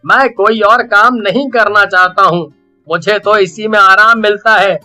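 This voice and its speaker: A man's voice: high voice, fairly even intonation, a little slow, pushed and bright, soft background music, heavily acted. A man playing a stubborn, easygoing young village shopkeeper who argues and grumbles in a dramatised audio story. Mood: pondering.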